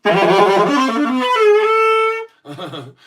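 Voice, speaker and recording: A man's voice: very high-pitched, wildly swooping pitch, extremely slow, recorded from a distance, over loud music. A man chatting on a livestream, reading viewers' comments aloud, joking around and laughing a lot. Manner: jokey, laughs often, mock accents. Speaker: livestream host reading chat. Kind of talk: casual livestream chat.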